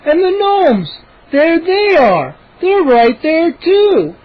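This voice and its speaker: A man's voice: very high voice, sing-song, slow pace, dull audio, pitched above the performer's own voice, exaggerated voice. A man playing an exasperated storybook dad. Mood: agitated. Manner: impatient, scolding. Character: exasperated grown father, stern then relenting.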